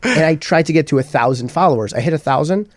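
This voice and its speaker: A man co-hosting a relaxed talk show, his voice sitting a bit high and swinging up and down as he jokes around. The sound is close and dry. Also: quick pace, dry recording. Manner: animated, jokey storyteller. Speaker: co-host. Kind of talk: casual chat.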